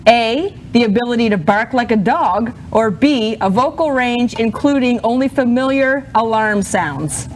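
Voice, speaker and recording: A woman's voice: fairly high pitch, unhurried, few pauses, slight background noise. A young woman co-hosting a casual chat, reading out party-game questions. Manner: chatty, reads out game questions. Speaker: co-host.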